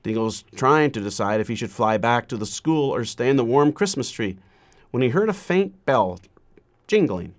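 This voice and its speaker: Man reading a storybook aloud, measured. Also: medium pitch, lively intonation. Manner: measured, deliberate phrasing with frequent pauses.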